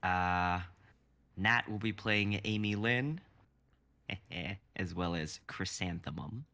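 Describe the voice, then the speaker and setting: A man's voice: low-pitched, takes it slowly, sharp tone. A man in his twenties talking in a laid-back way on a casual livestream, introducing his cast. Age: 20s.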